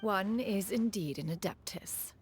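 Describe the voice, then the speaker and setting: A woman's voice: medium pitch, measured pace, over loud music, close to an everyday voice. A woman playing a proud, dignified immortal in a video game, with a stately, formal manner. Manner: stately, formal, archaic wording. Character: proud, aloof, dignified immortal adeptus lady.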